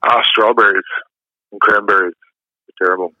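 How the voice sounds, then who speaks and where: A man with a low voice, giving easygoing, rambling interview answers full of fillers. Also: steady tone, normal speed, pushed and bright, dry recording. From New York. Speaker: musician guest. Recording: dull lo-fi dry sound.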